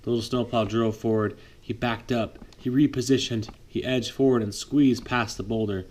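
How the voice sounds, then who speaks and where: A man reading a picture book aloud to his child at bedtime, lively, pausing to chat with the child. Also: medium pitch. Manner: lively read-aloud, voices for the trucks, chats with the child. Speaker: father reading a bedtime story.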